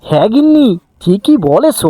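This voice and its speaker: A woman's voice: high voice, sing-song, normal speed, dark tone, faint music behind. A woman voicing an eager, affectionate poor village father in a cartoon fairy tale. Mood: pleased, hopeful.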